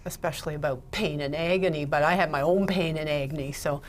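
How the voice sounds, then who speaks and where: A middle-aged woman from Ontario speaks as a guest in a relaxed, chatty way, often laughing as she goes. Her voice sits low for a woman. A faint hiss of room noise stays under it. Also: fast. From Ontario, Canada. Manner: chatty, laughs between thoughts. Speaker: guest, Mitzvah Technique teacher. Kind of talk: casual interview talk.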